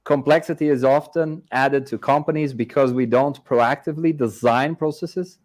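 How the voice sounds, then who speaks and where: A man moderating a panel, reading the questions out in a measured way. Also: mid-range voice, darkish tone.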